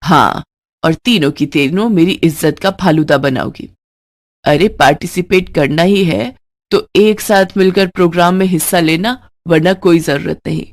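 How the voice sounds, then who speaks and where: A woman's voice: fairly low pitch, normal speed, natural voice. A woman voicing a middle-aged mother-in-law, stern and exasperated, in a scolding manner for a moral story.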